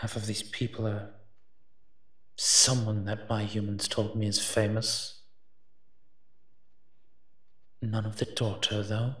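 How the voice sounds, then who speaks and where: A low, bright-edged male monster, as a man acts him out in an audio roleplay. He grumbles in a sardonic, even-pitched delivery, reluctant on the surface and secretly soft-hearted underneath. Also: measured pace. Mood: disdainful, musing. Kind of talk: scripted character monologue, audio roleplay.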